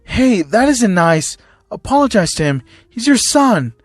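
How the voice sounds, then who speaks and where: A man's voice: high voice, sing-song, normal speed, breathy edge, no room sound, heavily acted. A man voicing a blunt, good-hearted child fighter with a firm, direct way of talking. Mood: firm, irritated.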